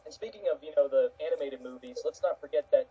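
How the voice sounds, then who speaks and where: Very muffled, husky man chatting. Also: fairly high pitch, fast talker, dark tone. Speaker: panel contributor. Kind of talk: casual discussion. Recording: dull lo-fi muffled voice line.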